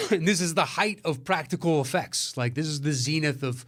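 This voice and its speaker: A man's voice: fairly high pitch, expressive, normal speed, a bit bright. An American man co-hosting a casual film discussion, talking bluntly and swearing often. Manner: blunt, exasperated, frequently swearing. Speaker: podcast co-host.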